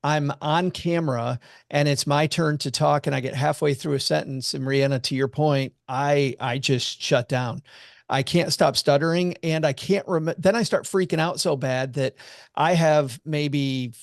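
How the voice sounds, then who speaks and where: Gravelly, fairly high-voiced man. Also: unhurried, dry recording, clean studio sound. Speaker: podcast guest, money commentator. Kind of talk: casual storytelling chat.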